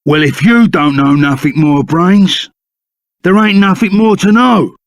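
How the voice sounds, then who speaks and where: An actor playing a loyal, excitable reformed bandit sidekick in a video game, with a Cockney accent and blunt, slangy speech. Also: male voice, sits high, a little slow, dry recording, studio quality. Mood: brisk, blunt. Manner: rough colloquial slang, blunt and emphatic. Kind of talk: video game character voice acting.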